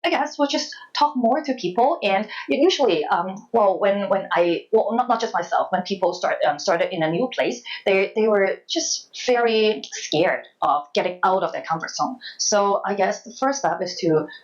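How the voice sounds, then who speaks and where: A woman in her thirties from Hong Kong, with a Hong Kong accent, answering interview questions reflectively in a halting, hesitant way with repeated words. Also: mid-range voice, lively intonation, highs cut off. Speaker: interview guest, former journalist.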